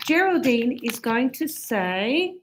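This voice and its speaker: A woman teaching an English lesson, explaining slowly and clearly and acting out sample dialogues. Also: on the high side, sing-song, slight background noise, poor audio.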